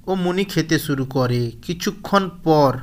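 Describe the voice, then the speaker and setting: A man's voice: fairly high pitch, measured pace. A man reading scripted narration for a children's cartoon story.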